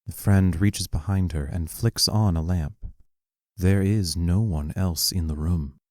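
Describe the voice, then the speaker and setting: A man reading the stage directions of a play aloud as scripted narration, plainly and deliberately. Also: low-pitched, a little mellow, dry recording, clean studio sound.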